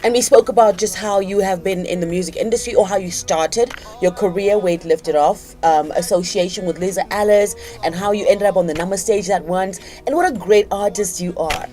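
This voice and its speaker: A Namibian woman hosts a radio interview in a studio, upbeat and affirming as she chats with her guest. Her pitch sits in a middle range, and faint music plays behind her. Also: a little fast, few pauses, mellow. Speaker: radio host.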